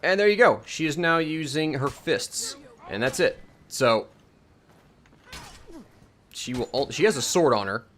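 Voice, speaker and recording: A man's voice: a little high, animated, unhurried, long pauses, a bit bright, slight background noise. A man giving a casual, unscripted game demonstration, explaining each step and thinking aloud as he goes. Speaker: mod showcase presenter. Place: indoors at home, voice-over on gameplay.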